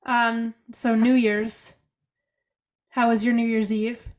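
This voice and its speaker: A woman's voice: fairly high pitch, flat delivery, slow. A young woman with a cold and a stuffy, congested voice, co-hosting a casual podcast from her bedroom over a video call, chatty and upbeat with lots of fillers. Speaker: podcast co-host. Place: bedroom at home.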